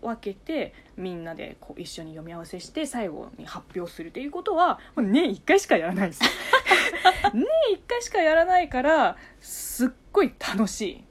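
A young woman whose first language is Chinese talks in a high, swooping voice. She hosts a casual chat and comes across as excitable and expressive, often giggly. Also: moderate pace, few pauses. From China. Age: young adult. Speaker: podcast host, announcing student. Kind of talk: casual after-show chat.

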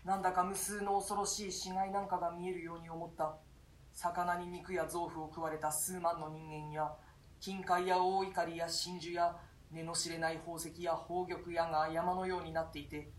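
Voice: medium pitch, little pitch movement, moderate pace, roomy sound, a little hiss or hum, lightly acted. A voice actor playing an imprisoned duke who recounts a nightmare in archaic, solemn speech. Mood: fearful. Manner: archaic, solemn storytelling with fearful gasps. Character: imprisoned duke haunted by a nightmare, male, grave. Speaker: voice actor in a radio reading. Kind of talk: classic stage play read as radio drama.

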